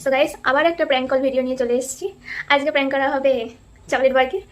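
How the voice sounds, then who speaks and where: Fast, high-pitched woman, teasing. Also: fairly even intonation. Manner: teasing, insistent. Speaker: prank call video host. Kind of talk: casual prank call chat. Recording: clearly noisy background.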